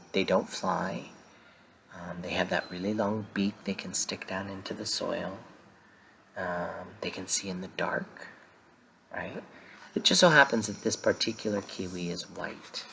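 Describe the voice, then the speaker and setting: A man reading a picture book aloud to children, breaking off for gentle, conversational asides that explain the story. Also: low voice, unhurried, gravelly, slight background noise, rough recording.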